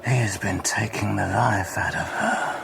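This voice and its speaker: A man playing a dying, injured madman in a radio drama, weak, dreamy and halting, with faint noise behind him. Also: fairly low pitch, vocal fry.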